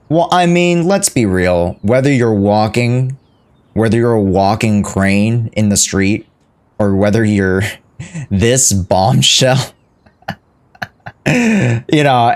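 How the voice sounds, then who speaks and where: A woman's voice: a bass voice, lively intonation, slow pace, darkish tone. A woman streaming a game, chatting casually with viewers and playfully reacting to and echoing the dialogue. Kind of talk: casual livestream reaction and chat.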